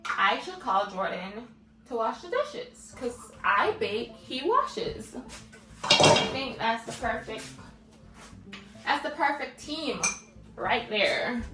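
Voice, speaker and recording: A woman's voice: on the high side, animated, slow pace, long pauses. Chatty Jamaican woman in an echoey kitchen. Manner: chatty, lots of "like" and "guys". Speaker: cooking vlogger. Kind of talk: casual cooking vlog. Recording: echoey room, distant mic, music underneath.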